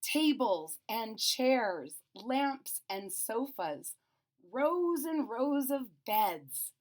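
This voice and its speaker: A woman's voice: a little high, very animated, drawn-out words. A woman reading a story aloud to children, adding simple explanatory asides.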